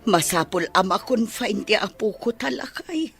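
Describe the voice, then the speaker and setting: A woman's voice: medium pitch, expressive, moderate pace, gravelly, a bit bright, faint music behind. A woman playing a concerned, practical older grandmother in a Filipino-accented radio drama. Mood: resigned, worried. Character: caring, practical older grandmother, mother of the household. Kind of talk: Philippine radio drama acting.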